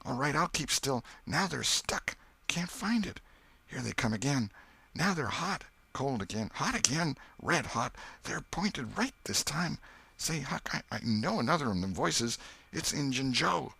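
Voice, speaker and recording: A man voicing a superstitious, frightened young boy trying to be brave, talking in hushed, nervous rustic old-time dialect in a storybook reading. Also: medium pitch, sing-song, measured pace, vocal fry, slightly rough, no room sound, pitched above the performer's own voice, exaggerated voice. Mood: scared, urgent.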